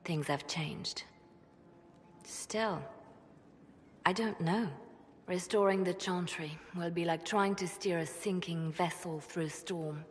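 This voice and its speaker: Low-voiced spymaster woman in reverberant hall. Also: takes it slowly, pauses often. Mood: grave, insistent. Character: composed, devout woman spymaster, guarded and pragmatic. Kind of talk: video game cutscene dialogue. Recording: long reverb, faint background noise.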